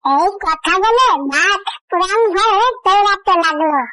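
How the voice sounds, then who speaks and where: A woman's voice: very high-pitched, a little slow, sharp tone, no room sound, clean studio sound. A woman reading a scripted fairy tale for children as the storyteller.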